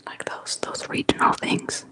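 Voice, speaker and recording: An ASMR streamer from Germany, talking in a soft, unvoiced whisper with many fillers during a live stream at home. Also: unhurried. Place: apartment at home. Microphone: close microphone.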